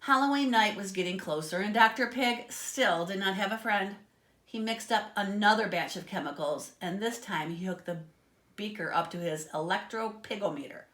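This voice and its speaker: A woman reading a children's story aloud in an expressive, dramatic way, with asides to her listeners. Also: mid-range voice, normal speed. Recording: echoey, roomy sound.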